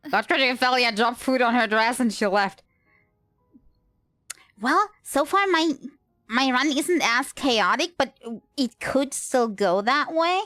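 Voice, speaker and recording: A woman's voice: high voice, expressive, takes it slowly, fairly bright tone, no room sound, studio quality, soft background music. A woman livestreaming a game, giving casual live commentary as she plays.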